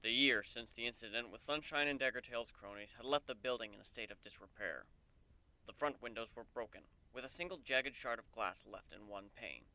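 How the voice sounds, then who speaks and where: A man narrates fiction as a dramatic reading. His voice is bright and clear, at a middling pitch, recorded close and dry. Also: no echo, poor audio. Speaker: narrator, fan fiction reader.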